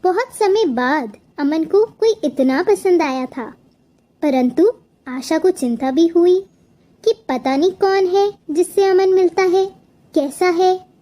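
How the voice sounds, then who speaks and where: A woman reads a scripted story as narrator. Her voice is very high and mellow, and she takes her time over each phrase. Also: slow.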